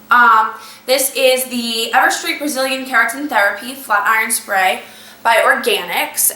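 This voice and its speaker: Young woman vlogger, slightly nasal from a cold, chatty step-by-step tutorial delivery, higher voice, recorded from a distance. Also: bright, forceful tone. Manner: chatty, explains step by step with many fillers. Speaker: beauty vlogger. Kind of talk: casual talk-through tutorial. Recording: echoey room, voice a bit off-mic.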